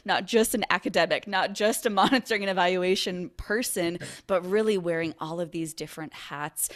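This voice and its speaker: A woman hosts an interview podcast in a somewhat low voice. She is eager and encouraging toward her guest, and laughter comes easily to her. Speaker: podcast host.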